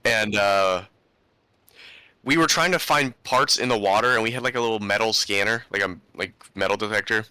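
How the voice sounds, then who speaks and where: Bright, clear-voiced young man chatting. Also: on the low side, normal speed, no room sound. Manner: laid-back, rambling storytelling with slang. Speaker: podcast host. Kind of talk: casual chat.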